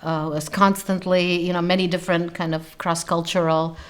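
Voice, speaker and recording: Older woman, sixties, German first language, lowish voice, measured and reflective, frequent ums. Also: little pitch movement. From Romania. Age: 60s. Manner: thoughtful, frequent ums and uhs, lingers on words. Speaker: interviewee, scholar. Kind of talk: reflective personal account.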